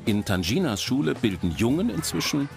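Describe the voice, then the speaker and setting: A man's voice: medium pitch, sing-song, a little fast, creaky, music in the background. A man reading scripted documentary narration.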